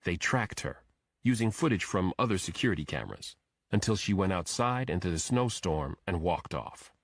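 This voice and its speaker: Male audiobook narrator, low voice, dry close sound, scripted suspense storytelling. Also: lively intonation, moderate pace, dry recording.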